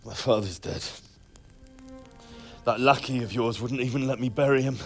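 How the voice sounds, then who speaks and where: A man's voice: mid-range voice, normal speed, faint music behind, close to an everyday voice. A man playing a defiant young captive. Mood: bitter. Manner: bitter, defiant.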